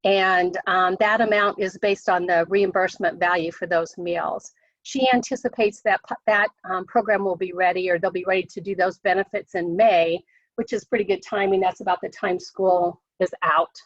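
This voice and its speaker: A woman official with an American accent, hoarse from a cold, briefing on a conference call, halting with many ums and restarts. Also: on the low side, fairly even intonation, dry recording. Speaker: state education official. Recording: dry muffled call audio.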